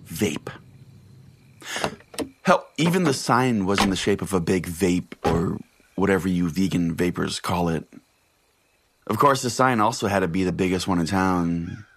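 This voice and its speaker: A man's voice: deep voice, a bit bright. A man hosting a podcast, telling a scripted comic story in a mock-solemn, profane way. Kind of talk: comic first-person scripted narration.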